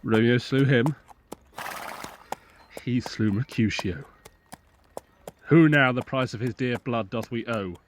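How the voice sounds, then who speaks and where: A man's voice: medium pitch, slow, long pauses, a little hiss or hum, lightly acted. A man playing a stern, commanding prince passing judgement, formal and authoritative in a Shakespeare audio drama. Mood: judging.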